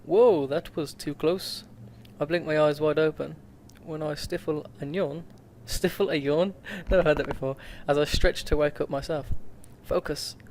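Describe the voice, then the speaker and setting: A man's voice: on the high side, steady tone, normal speed, few pauses, a little mellow, a little hiss or hum. A man hosting a let's play, reading a visual novel aloud with stumbles, self-corrections and laughs.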